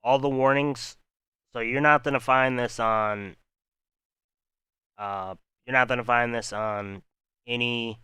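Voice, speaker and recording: Man, weather livestream host: calm, meandering delivery, bright crisp tone, dry close sound. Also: medium pitch, takes it slowly, pauses often, no echo. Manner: calm, rambling, talks to chat viewers. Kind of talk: unscripted live weather stream.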